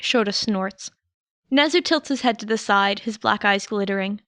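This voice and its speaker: A woman's voice: medium pitch, moderate pace, a bit bright, no room sound. A woman reading a story aloud as a scripted audiobook narrator, close to the microphone. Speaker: podfic narrator. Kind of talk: scripted narration of fan fiction.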